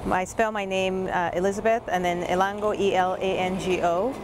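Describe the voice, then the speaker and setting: A woman's voice: medium pitch, steady tone, normal speed. A woman from Cameroon answering interview questions, hesitant with many fillers. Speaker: congress participant, program worker. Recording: noisy background.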